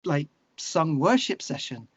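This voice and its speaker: Male interview guest, slightly higher register, conversational and opinionated, working through thoughts aloud, on a dull lo-fi recording. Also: big pitch swings, measured pace, slightly rough, no echo. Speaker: interview guest, musician and songwriter.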